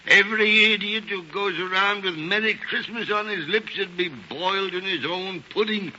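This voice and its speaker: A man's voice: sits high, moderate pace, bright, forceful tone. An older man acting a bitter, scornful old miser who grumbles and snaps in a 1940s radio drama. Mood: scornful, irritated. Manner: grumbling, blustering, snapping. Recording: muffled, hissy 1940s radio broadcast.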